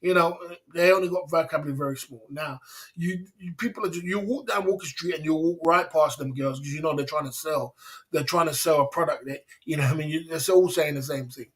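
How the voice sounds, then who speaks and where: A man's voice: fairly high pitch, fairly quick, a bit bright. A middle-aged man from England, hosting a casual livestream and talking in a boastful, repetitive way. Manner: repetitive, boastful, acts out dialogues. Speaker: livestream host.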